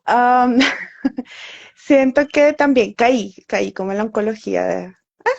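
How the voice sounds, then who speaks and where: A woman's voice: a little high, slow, vocal fry, a little mellow. A woman from Venezuela, an oncology nutritionist, chatting casually on a live stream with many fillers and frequent laughs. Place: home, living in Bogotá. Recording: dull lo-fi sound.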